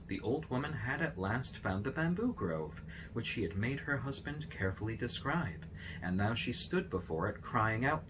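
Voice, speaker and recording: A man reading a fairy tale aloud as an expressive narrator. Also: lowish voice, background noise. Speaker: storybook reader.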